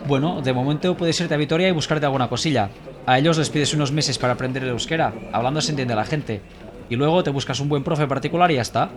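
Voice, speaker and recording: Casting: an actor as a fast-talking, earnest young Valencian, crowd noise around. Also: male voice, mid-range voice, close to an everyday voice. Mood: encouraging. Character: idealistic young Valencian male programmer, earnest. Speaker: programmer and volunteer. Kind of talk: scripted dialogue.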